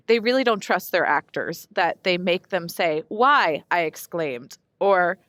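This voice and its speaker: A woman's voice: on the low side, animated, slow, a bit bright, dry recording. A woman co-hosting a podcast in casual chat, wry and joking. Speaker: podcast co-host.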